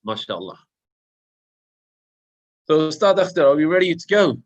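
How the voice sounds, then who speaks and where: A man's voice: on the high side, big pitch swings, normal speed, dull audio. A man co-hosting an online children's session over a video call, chatting casually and teasing lightly as he greets people by name.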